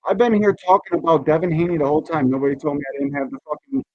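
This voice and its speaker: A man's voice: fairly high pitch, expressive, very fast, a little mellow. A man hosting a podcast livestream, ranting casually and bluntly with heavy swearing and complaints. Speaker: podcast host. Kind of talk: casual livestream rant, profane. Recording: dull, muffled lo-fi stream audio.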